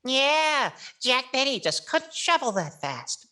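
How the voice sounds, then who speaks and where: A woman's voice: high voice, very animated, takes it slowly, heavy background noise, exaggerated voice. A woman playing a cheeky, wisecracking boy ventriloquist's dummy in 1940s radio comedy style. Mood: cheeky, mocking.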